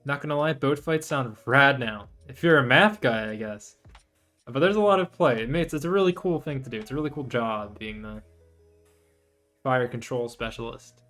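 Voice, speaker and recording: A man's voice: medium pitch, normal speed, a bit bright. Casual male streamer rambling over music. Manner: rambling, thinking aloud. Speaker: livestreamer, VTuber host. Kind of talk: casual livestream chat. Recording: music behind the voice. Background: background music, guitar.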